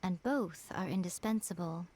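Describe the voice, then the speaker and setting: A woman playing a poised, wise priestess adviser in a video game, elegant, articulate and gently teasing. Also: fairly low pitch, normal speed, slightly rough. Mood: caring.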